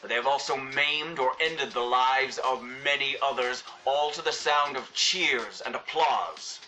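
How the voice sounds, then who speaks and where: A man's voice: medium pitch, a little slow, bright, forceful tone, soft background music, low-quality recording. A male actor playing an earnest, stern spokesman in a video game, delivering a formal public statement. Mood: grave, reproachful.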